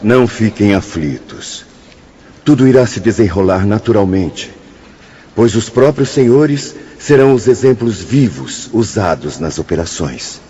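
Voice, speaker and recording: An actor playing a calm, formal male spirit instructor, speaking didactically in a radio drama. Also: fairly low pitch, measured pace, slight background noise. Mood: calm, courteous. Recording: muffled audio with music underneath.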